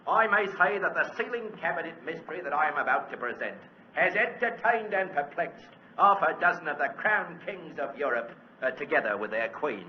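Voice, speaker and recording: Guy doing a pompous flustered old-time magician, grand halting patter, really lively pitch, dull noisy radio recording. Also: roomy sound, natural voice. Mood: grandiose. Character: pompous, flustered music-hall stage magician addressing his audience. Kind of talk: 1940s radio acting.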